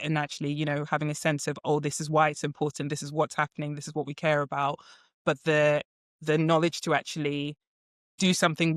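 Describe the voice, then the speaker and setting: A man interviews guests in a conversational, tentative way, rephrasing as he goes. His voice is smooth and clear, with a fairly flat melody. The recording is dry and close. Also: on the high side, dry recording. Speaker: podcast host.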